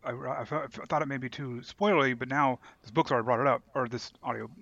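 A man's voice: medium pitch, fast, vocal fry, a bit bright, no echo, muffled recording. A man co-hosting a podcast in casual fan discussion, thinking aloud tentatively as he works through his points. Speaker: podcast host.

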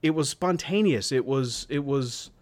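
A man's voice: mid-range voice, a little slow. A man co-hosting a podcast, talking casually with a dramatic, mock-indignant, emphatic manner. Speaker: podcast co-host. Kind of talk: casual group chat.

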